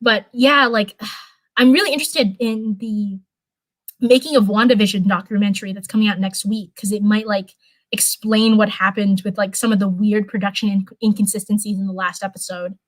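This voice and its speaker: A young woman chatting casually on a livestream, rambling with lots of fillers and restarts, her voice getting hoarse. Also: medium pitch, steady tone, moderate pace, no room sound, low-quality recording. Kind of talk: casual livestream chat, answering viewers.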